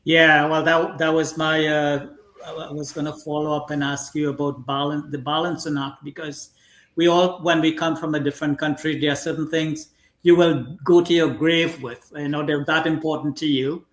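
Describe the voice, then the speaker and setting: A man from Trinidad hosting a talk show, speaking in a conversational, halting way with many fillers. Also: a little high, level tone, fairly bright tone. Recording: echoey room sound.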